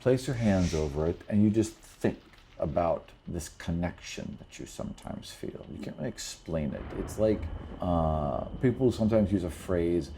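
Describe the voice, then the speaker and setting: A man's voice: sits low, expressive, moderate pace, dark tone, a little hiss or hum. A man working as game master, narrating a tabletop scene off the cuff in a conversational, descriptive way with rambling asides.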